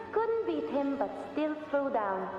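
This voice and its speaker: A youthful dreamy village kid in a game, slow lilting talk, big reverb, sounds far off. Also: female voice, high-pitched, muffled recording, full character voice. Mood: playful. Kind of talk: video game voice acting. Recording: echoey, distant, long reverb.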